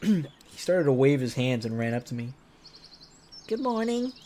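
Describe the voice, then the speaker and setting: A man streams a visual novel, reading it aloud with dramatic narration. He breaks off into playful, casual asides. His voice has a middling pitch, with noise audible behind it. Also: moderate pace, warm tone. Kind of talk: dramatic read-aloud narration mixed with casual joking commentary.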